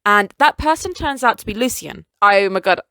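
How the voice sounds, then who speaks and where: A young woman in a casual solo review, gushing as an excited fan and rambling through self-corrections. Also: medium pitch, moderate pace, no room sound, clean studio sound. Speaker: anime reviewer.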